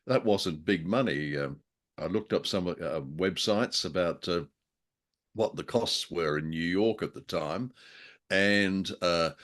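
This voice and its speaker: Very low-voiced man chatting about radio. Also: a bit bright. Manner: chuckling, hesitant, wordy and wry. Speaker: co-host of a radio drama review show. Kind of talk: casual conversational review.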